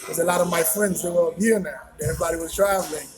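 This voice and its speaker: A man's voice: fairly high pitch, fast, poor audio. Man talking loosely over music. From Haiti. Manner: relaxed, rambling answers with many fillers and repeats. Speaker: interview guest. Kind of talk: casual conversational interview.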